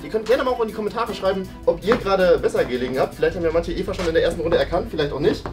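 A man's voice: high-pitched, racing, over music. A man in his thirties with a German accent, casually hosting and commenting on a guessing game in a room. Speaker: host and contestant of a guessing game show. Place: medium-sized indoor room.

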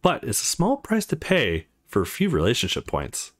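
A man's voice: medium pitch, wildly swooping pitch, normal speed. Intense, protective young man, read by a man. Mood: resigned.

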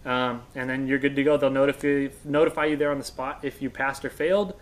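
A man's voice: medium pitch, steady tone, a little fast. An American man in his thirties, explaining things informally as a knowledgeable guest, in a conversational way. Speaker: guest radio expert and instructor. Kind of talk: casual explanation. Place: indoors on a couch in a workshop room.

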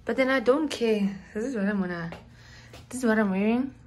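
A woman's voice: a little high, a little fast, a little mellow, a little hiss or hum. Chatty young South African woman vlogging. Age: young adult. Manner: chatty, rambling, drops into whispered asides. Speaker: student vlogger. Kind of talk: casual vlog chat to camera. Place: small student dorm room, indoors. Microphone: phone camera at arm's length.

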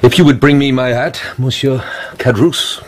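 A man playing a grave, quietly intense abbé in a radio drama, asking questions in a measured, formal way. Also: medium pitch, fairly even intonation, close to an everyday voice. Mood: courteous, restrained.

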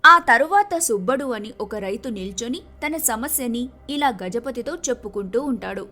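A woman's voice: a little high, lively intonation, normal speed, bright, forceful tone. A woman narrating a scripted moral story as its storyteller.

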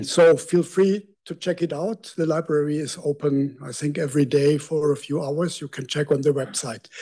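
A man's voice: fairly high pitch, dark tone. An older man with a German accent, giving a public lecture from a lectern microphone in a university lecture hall, speaking conversationally with self-deprecating asides. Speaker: guest lecturer.

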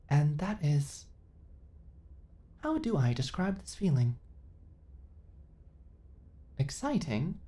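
A mellow, low female android voice, played by a woman, built for a sci-fi roleplay. The companion speaks in formal, literal phrases with earnest naivety, yet the pitch moves in wide swings as it learns to feel. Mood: uncertain, curious. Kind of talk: ASMR sci-fi audio roleplay.

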